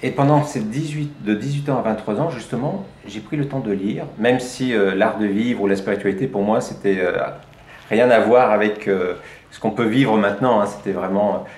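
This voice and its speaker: A man's voice: lowish voice, moderate pace, a little mellow, echoey room. A middle-aged man from France, a life coach telling his story in a chatty interview with frequent hesitations. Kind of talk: conversational interview.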